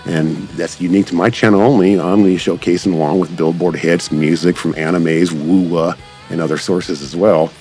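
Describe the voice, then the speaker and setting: A man's voice: sits low, fairly even intonation, moderate pace, darkish tone. A man giving casual, enthusiastic, rambling gameplay commentary as a channel creator. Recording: background music behind the voice. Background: music.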